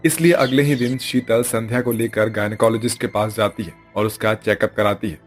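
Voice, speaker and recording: A man's voice: lowish voice, steady tone, brisk, faint music behind. A man giving scripted narration for a cartoon story.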